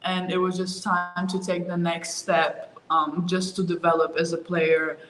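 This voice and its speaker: A woman's voice: fairly low pitch, a little slow, a little hiss or hum. Young woman in an echoey room. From Ukraine. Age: young adult. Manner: relaxed, easygoing, many fillers. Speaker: college basketball player. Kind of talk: casual interview answers.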